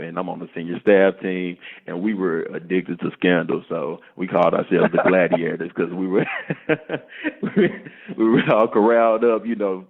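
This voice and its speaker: A man in his twenties from the American South, a guest on a podcast, chatting in an easygoing way and often calling the host "man". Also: deep voice. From Arkansas, US South. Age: 20s. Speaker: podcast guest, startup co-founder. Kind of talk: casual interview chat. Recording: phone-line sound.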